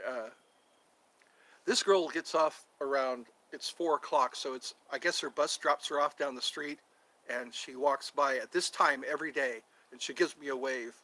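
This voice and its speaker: A man's voice: on the high side, pushed and bright, dry recording. A middle-aged man chatting casually on his vlog, rambling and joking, trying out voices and impressions. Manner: rambling, jokey, tries out voices. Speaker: vlogger.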